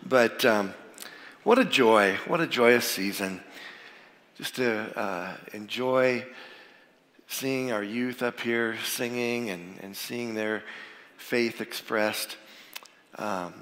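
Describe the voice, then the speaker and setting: A man's voice: mid-range voice, takes it slowly, long pauses, fairly bright tone. Man with a cold preaching in a reverberant hall. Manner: informal, plainspoken, with frequent fillers. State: getting over a cold, a little froggy. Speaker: pastor. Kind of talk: sermon with prayer. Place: church sanctuary. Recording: long reverb of a large hall.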